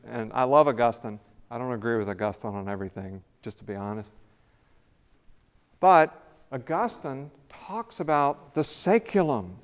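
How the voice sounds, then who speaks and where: An older man giving a conversational lecture in a church sanctuary, with a big voice and rising emphasis on key words. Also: mid-range voice. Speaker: visiting scholar lecturer. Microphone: podium mic, then away from mic.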